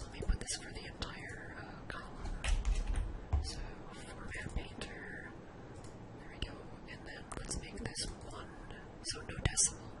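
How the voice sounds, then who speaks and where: An ASMR host who whispers the whole lesson with no real voicing. The delivery is breathy and instructional, walking through software steps one at a time. The whispered tone shifts noticeably up and down from phrase to phrase. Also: very high-pitched, rough recording. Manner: explains step by step, instructional.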